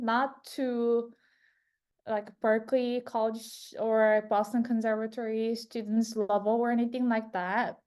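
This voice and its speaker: Slow, clear-voiced Korean-accented woman. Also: fairly high pitch. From South Korea. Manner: unhurried, friendly, with frequent ums. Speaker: student success advisor. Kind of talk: casual self-introduction in an info session.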